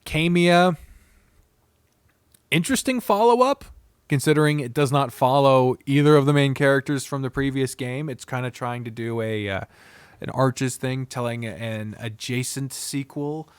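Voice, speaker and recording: A man's voice: mid-range voice, moderate pace, clean tone, no room sound. Blunt man rambling through casual reviews. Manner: blunt, frequently swearing, rambling asides. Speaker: video host reviewing visual novels. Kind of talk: casual unscripted review commentary.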